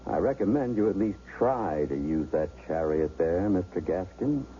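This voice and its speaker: An actor playing a firm, matter-of-fact doctor in a 1970s radio drama. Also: male voice, sits low, expressive, moderate pace, mellow, a little hiss or hum. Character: calm brisk male family doctor. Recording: dull lo-fi old radio broadcast with music.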